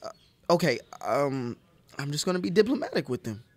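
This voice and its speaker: Man acting a high-voiced, earnest Western deputy. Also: very animated, a little fast, no room sound. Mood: anxious. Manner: hesitant, stammering. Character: nervous, reluctant young deputy from Chicago, earnest and wordy. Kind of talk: audio play acting.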